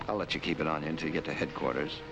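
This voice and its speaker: Sardonic American police detective, curt rapid patter, deep voice, 1940s crime film. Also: male voice, fairly even intonation, muffled recording, music in the background. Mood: curt, sarcastic. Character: hard, sarcastic male police detective. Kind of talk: 1940s film noir acting.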